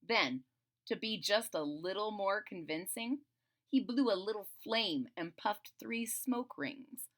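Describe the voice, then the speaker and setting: Slow, very animated woman reading a storybook. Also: medium pitch. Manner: theatrical, playful, explains simply to young listeners. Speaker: storybook reader for children. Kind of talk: expressive children's read-aloud with simple asides.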